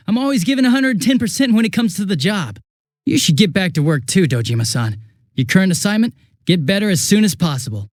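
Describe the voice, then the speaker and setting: A man voicing a young police detective in a video game, affable and easily flustered, with a casual, hesitant and excitable way of talking. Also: high-pitched, no echo, clean studio sound. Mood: nervous, joking. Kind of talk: English video game voice acting.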